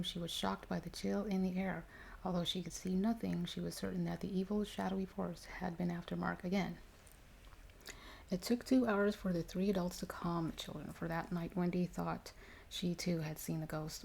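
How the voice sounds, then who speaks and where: A woman reading a ghost story aloud in a hushed, measured ASMR style, with occasional stumbles. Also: on the low side, creaky, a little hiss or hum, rough recording. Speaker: story reader.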